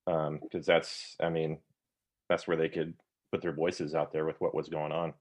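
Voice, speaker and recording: A man co-hosting a podcast, adding measured comments to the conversation. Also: a bass voice, flat delivery, darkish tone.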